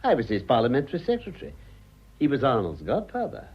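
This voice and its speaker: An actor with a British accent playing an urbane, avuncular older gentleman with dry irony in a radio drama. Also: medium pitch, wildly swooping pitch, fast, slightly rough, heavily acted. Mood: reflective. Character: urbane, wry older English gentleman, a deserted husband. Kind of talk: stage comedy radio drama acting. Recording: slightly dull, lo-fi audio.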